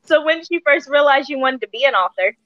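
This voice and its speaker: A woman's voice: high-pitched, expressive, fast, no echo, muffled recording. A woman in her thirties hosting a podcast with casual interview chat. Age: 30s. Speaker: podcast host.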